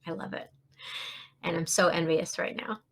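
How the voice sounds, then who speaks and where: A woman's voice: on the low side, steady tone, moderate pace, gravelly. A woman hosting an interview podcast, greeting and praising her guest with effusive enthusiasm. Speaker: podcast host.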